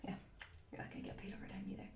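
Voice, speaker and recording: A woman acts out a grumpy female HR employee in an ASMR roleplay. She speaks softly and often slips into whispers, deadpan and blunt as she grumbles through paperwork. The sound is roomy and a little distant from the mic. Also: very fast, close to an everyday voice. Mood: flat, weary. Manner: deadpan, hesitant, offhand, grumbling.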